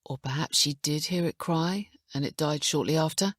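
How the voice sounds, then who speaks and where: A woman voicing a thoughtful male detective who offers quiet suggestions. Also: low-pitched, normal speed, no room sound, studio quality.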